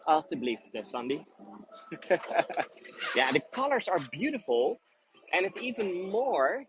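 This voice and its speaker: A man's voice: high-pitched, slight background noise, poor audio. A man with a Dutch accent, whose first language is Dutch, guiding a live walking tour outdoors on busy city streets, chatty and friendly with many fillers and restarts. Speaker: tour guide.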